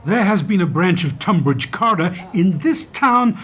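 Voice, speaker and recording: A man's voice: sits high, lively intonation, unhurried, a bit bright, breathy edge, heavily acted. A man playing an indignant, pleading bank branch manager loyal to his staff, in an emotional radio play. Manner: emotional, protesting.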